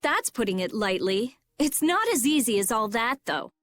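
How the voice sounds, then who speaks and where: A woman voicing a blunt, skeptical teenage girl in an animated role-playing video game, dry and sarcastic. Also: on the high side, moderate pace, no echo. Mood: sarcastic, impatient. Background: faint background music.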